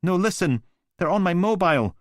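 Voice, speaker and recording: A man's voice: high voice, expressive, brisk, no room sound, studio quality, pitched above the performer's own voice. A man voicing a terrified young woman on a recorded emergency call, panicked and pleading.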